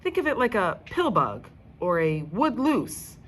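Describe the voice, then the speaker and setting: A woman's voice: medium pitch, sing-song, a little slow, fairly bright tone, slight background noise, pitched above the performer's own voice. A woman reading a storybook in the part of a helpful, matter-of-fact female museum guide. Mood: helpful, informative.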